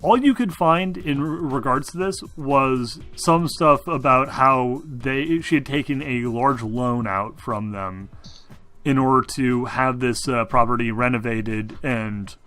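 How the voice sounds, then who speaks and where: A man improvising narration as game master of a tabletop game, in a halting, searching way. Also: mid-range voice, fairly bright tone, faint music behind.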